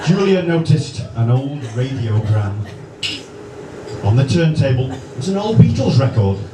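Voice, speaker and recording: A man narrating a spoof radio bedtime story live on stage, theatrically, with dramatic pauses. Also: mid-range voice, very animated. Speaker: narrator of a live comedy sketch. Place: large indoor club event before live audience. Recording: echoey noisy hall, distant microphone.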